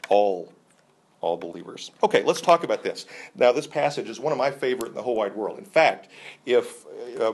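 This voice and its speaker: An enthusiastic man teaching a Bible class, talking conversationally with frequent restarts and fillers. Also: lowish voice.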